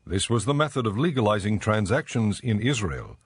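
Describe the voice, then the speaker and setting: A man narrating scripture from a script in a measured, solemn manner. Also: on the low side, big pitch swings, slightly rough, no echo.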